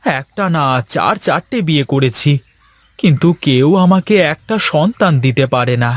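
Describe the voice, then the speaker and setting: A man voicing an anxious, pleading wealthy husband in a fairy-tale cartoon, with earnest, expressive delivery. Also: a little high, moderate pace, no room sound.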